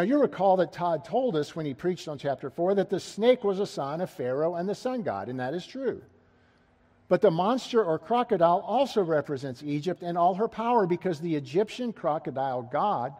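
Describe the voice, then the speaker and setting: Middle-aged male preacher, somewhat high voice, expository sermon with rhetorical questions. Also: a little mellow. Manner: asks the congregation rhetorical questions, rising emphasis.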